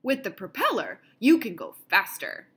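A woman's voice: mid-range voice, wildly swooping pitch, moderate pace, sharp tone, breathy edge, heavily acted. Woman reading as an emphatic, friendly old inventor man. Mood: eager, instructive. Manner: emphatic, exclaiming. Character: excited elderly male inventor, friendly and encouraging. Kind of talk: read-aloud picture book dialogue.

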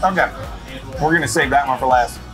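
A man's voice: medium pitch, brisk, fairly bright tone, soft background music. A middle-aged man hosting a food show, asking upbeat, jokey questions across a restaurant table. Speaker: host. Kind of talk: casual food show interview.

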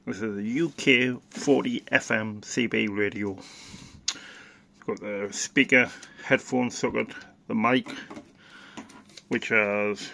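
A man giving a casual, halting, off-the-cuff product demonstration as a hobbyist presenter. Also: medium pitch, creaky, sharp tone, a little hiss or hum. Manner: halting, unscripted.

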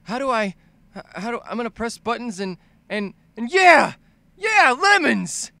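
Animated, bright-voiced man doing comedic game commentary. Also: extremely high pitch, a little slow, vocal fry, dry recording. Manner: profane, exaggerated, bouncing between mock dumb and excited shouting. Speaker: video game commentator, fan-dub voice-over.

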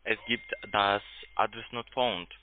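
A man with a foreign accent, a call centre agent speaking halting, broken sentences and repeating himself. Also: lowish voice, steady tone, slight background noise. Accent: foreign accent in German. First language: not German. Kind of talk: phone conversation. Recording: dry narrow phone line.

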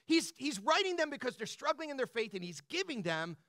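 A man preaching as a pastor in a conversational, motivational style, colloquial and animated, often checking in with the listeners. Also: extremely high pitch, fairly quick, no room sound, studio quality. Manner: animated, colloquial, punctuates points with "right?" and "bro".

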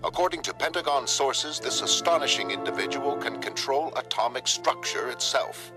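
A man's voice: medium pitch, animated, moderate pace, voice pushed up, close to an everyday voice. A male actor imitates a 1960s TV newsman for a comic drama. The delivery is formal and emphatic, in a register lifted well above his natural one. Music plays behind. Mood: urgent, formal. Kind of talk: news bulletin.

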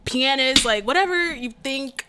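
A woman's voice: high voice, moderate pace, noisy background. A young adult woman from Cameroon, a photographer and artist, talking as a podcast guest in a playful, self-mocking way with giggles. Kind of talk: casual interview chat. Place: small podcast studio. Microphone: studio mic on stand.

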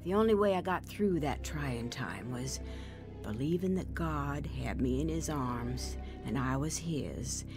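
A woman's voice: a bass voice, expressive, measured pace, over music. An actress playing a woman soberly recounting her painful youth, narrating a dramatized testimony. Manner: reflective, sober.

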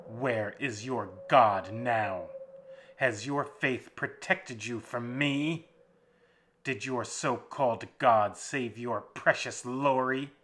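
A man's voice: medium pitch, a bit bright, a little hiss or hum. A man voicing a sneering vampire master. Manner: sneering, gloating and cackling. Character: cruel mocking male vampire master. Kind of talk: dramatic audiobook reading.

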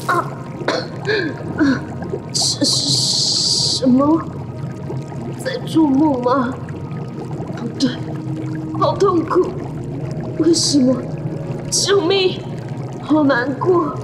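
A woman's voice: sits high, drawn-out words, pauses often, noisy background. A woman playing a curious, easily frightened young teenage boy in an audio drama. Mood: panicked. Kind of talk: Mandarin audio drama acting.

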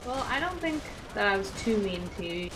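A woman's voice: medium pitch, very animated, a little fast, background noise. A young woman chatting casually as she plays a game, playful and slangy. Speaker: gamer, co-player. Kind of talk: casual gameplay chat. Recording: game gunfire behind. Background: war game gunfire.

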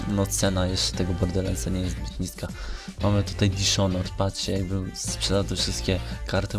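A young man giving casual, unscripted gaming commentary in a hesitant, rambling way, often correcting himself. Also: sits low. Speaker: gaming vlogger. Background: music.

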